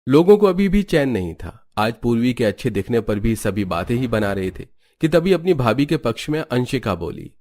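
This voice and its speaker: A man's voice: medium pitch, very animated, moderate pace, hardly any pauses, a little mellow. A man reading scripted narration for a story.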